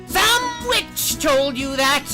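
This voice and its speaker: A man voicing a gleeful, spiteful little imp from a fairy tale, with a theatrical, gloating, drawn-out delivery. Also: very high-pitched, lively intonation, over loud music, voice pushed up, heavily acted. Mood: enraged.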